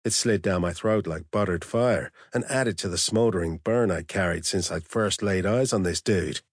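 A man's voice: low-pitched, normal speed, dry recording, studio quality. A man narrating a first-person novel in a blunt, profane, wry manner. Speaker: audiobook narrator.

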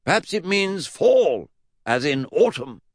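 A stern, eccentric old gentleman blusters in a pitched-up voice that leaps about. He is pompous and emphatic, every phrase proclaimed like a grand pronouncement in a comic storybook. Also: sing-song, slow pace, no echo, clean studio sound, heavily acted. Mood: pompous, confident. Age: older. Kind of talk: comic children's audiobook character voice.

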